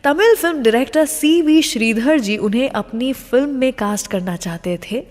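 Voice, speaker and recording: A woman's voice: high voice, normal speed, a little mellow, long reverb tail. A woman with an Indian accent presenting a scripted radio show, addressing listeners directly and mixing in English phrases.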